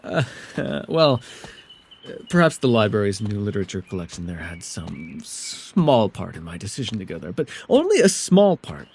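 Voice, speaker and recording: A man playing a mysterious, soft-spoken elf with a gently teasing, secretive way, in an immersive ASMR roleplay with hesitant, tender asides. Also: on the low side, sing-song, normal speed, vocal fry, slight background noise. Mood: playful teasing.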